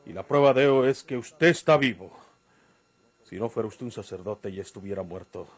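An actor playing a wily, menacing Mexican outlaw in a radio drama, with rustic, commanding speech. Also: male voice, fairly low pitch, brisk, breathy edge, no echo. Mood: grim, defiant. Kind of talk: golden-age radio drama acting.

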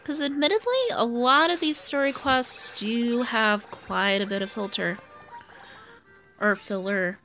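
A high-pitched American woman hosts a gaming video over clearly audible game music. She talks slowly and casually, sounding tired as she thinks aloud through her play. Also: animated, pushed and bright. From US East Coast. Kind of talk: casual gameplay commentary.

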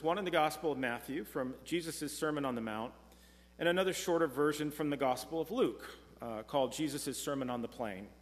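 Man preaching in a reverberant church. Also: fairly high pitch. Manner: measured, earnest preaching, slowing for scripture. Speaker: pastor. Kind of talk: sermon. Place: church sanctuary, speaking from a pulpit. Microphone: pulpit mic, far camera.

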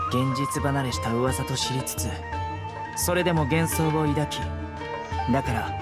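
A man voicing a stern young enforcer in an anime game, terse, measured and serious. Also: fairly high pitch. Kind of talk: Japanese anime game voice acting. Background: background music with guitar.

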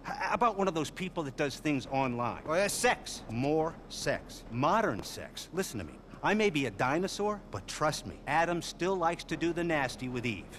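A manic older male producer from a video game, blustering and vulgar. His voice sits high and jumps all over the place. He fires off ideas in scattered bursts. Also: sing-song, a bit bright, slight background noise. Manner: rapid-fire, scattered pitches, blustering.

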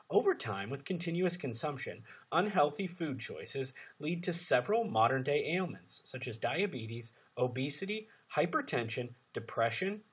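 Male narrator, scripted instructional read, emphatic phrasing, very lively intonation, slightly husky voice. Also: on the high side.

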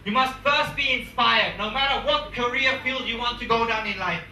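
Young man, motivational speech, very bright tone, roomy echo, recorded from afar. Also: extremely high pitch, moderate pace, far from the mic, a little hiss or hum. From England. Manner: emphatic, repetitive, rousing. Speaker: motivational speaker. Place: large conference room, indoors. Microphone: handheld mic, far camera. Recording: echoey room, recorded from afar.

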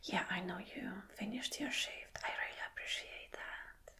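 A woman whispering softly in an ASMR roleplay as a flirty, attentive boss, gentle and reassuring. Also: mid-range voice, normal speed. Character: flirty, attentive female boss soothing an employee. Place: small dim room indoors.

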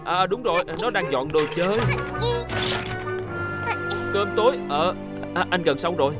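A man's voice: high voice, expressive, measured pace. A man with a Southern Vietnamese accent playing a good-natured, boastful, easily flustered middle-aged father in a dubbed children's cartoon. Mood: flustered, bluffing. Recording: loud music bed behind dialogue.

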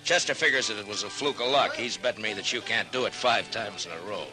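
Man playing a deep-voiced, determined frontier marshal. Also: fast, over music, close to an everyday voice. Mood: feigned casualness. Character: steady, determined frontier marshal, plainspoken and wily. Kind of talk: 1950s radio western acting. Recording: vintage 1950s radio broadcast.

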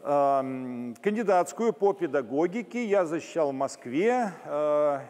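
An older man from Moscow addresses a church gathering in a genial, joking way, often pausing on drawn-out filler sounds. His voice sits a little high, and a long hall reverb trails behind it. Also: animated, a little mellow. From Moscow, Russia. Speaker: guest lecturer. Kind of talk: informal talk to a church audience.

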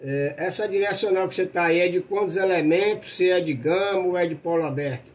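A Brazilian man with a high voice chats casually about technical matters as a radio operator. He comes over a two-way radio, with slight noise around the signal. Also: fairly quick. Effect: heard over two-way radio.

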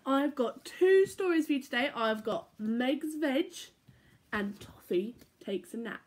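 Young woman, fairly high voice, storyteller for kids, animated read-aloud at home on a laptop camera. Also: measured pace. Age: young adult. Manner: animated, playful read-aloud with sound effects. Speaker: church children's storyteller. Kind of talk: reading picture books aloud to children. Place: living room at home. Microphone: laptop or phone camera at arm's length.

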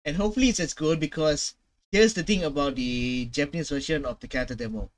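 A man's voice: fairly high pitch, fast talker. A young man giving casual, emphatic and slangy reaction commentary as a video host. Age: young adult. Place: small room at home.

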